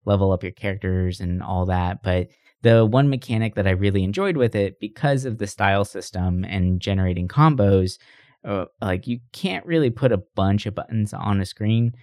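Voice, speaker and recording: A man's voice: lowish voice, normal speed, darkish tone, dry recording, studio quality. A man co-hosting a podcast, chatting casually about games in a rambling, informal way. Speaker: podcast co-host. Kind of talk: casual gaming chat.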